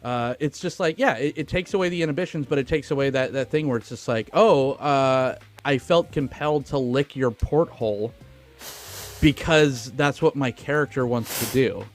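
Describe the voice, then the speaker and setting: A man hosting a podcast, talking casually and profanely about a film in an animated, informal way. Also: medium pitch, normal speed. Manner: animated, informal retelling with acted-out asides. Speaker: podcast host.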